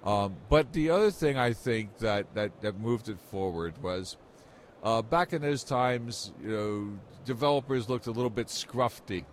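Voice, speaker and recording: A man's voice: lowish voice, lively intonation, a little slow, a little hiss or hum. A mature man giving rambling, explanatory anecdotes as an interview guest in a conversational style. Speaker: interview guest, software industry veteran.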